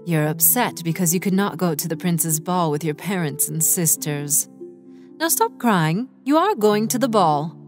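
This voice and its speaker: Old fairy godmother for kids' cartoon played by a woman, motherly, gently bossy, very expressive intonation, faint music underneath. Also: lowish voice, a little slow. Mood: kindly, brisk. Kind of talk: children's cartoon voice acting.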